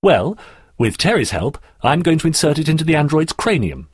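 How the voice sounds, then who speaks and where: A man's voice: on the high side, very animated, normal speed, husky, no echo, studio quality, voice pushed up. A man voicing an earnest schoolboy inventor, proud and self-assured, in a children's story. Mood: serious, intent.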